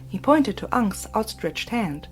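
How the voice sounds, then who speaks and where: A woman narrating a fantasy audiobook in a soft, breathy, hushed voice. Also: mid-range voice, sing-song, measured pace. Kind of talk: scripted narration. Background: faint music.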